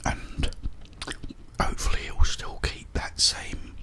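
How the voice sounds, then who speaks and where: A man whispering a casual, unscripted ASMR ramble in a breathy voice, meandering along close to the microphone. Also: unhurried. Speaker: ASMR video host.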